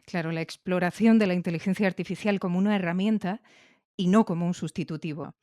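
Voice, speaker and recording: A man's voice: sits high, fairly even intonation, quick pace, a little mellow, dry recording. A man with an accent from Spain interviewing conversationally on a podcast, wordy and effusive, repeating himself.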